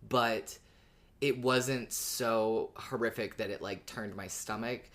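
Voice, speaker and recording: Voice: medium pitch, steady tone, takes it slowly, fairly bright tone. A podcast co-host chatting casually about a story, explaining things in an earnest, informal way. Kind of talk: casual conversational podcast commentary.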